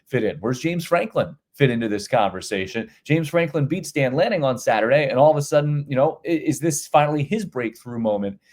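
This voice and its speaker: A man's voice: mid-range voice, lively intonation, brisk, few pauses, darkish tone. A middle-aged man co-hosting a podcast, talking college football casually with many restarts and repeated words. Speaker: podcast co-host. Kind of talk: casual sports talk.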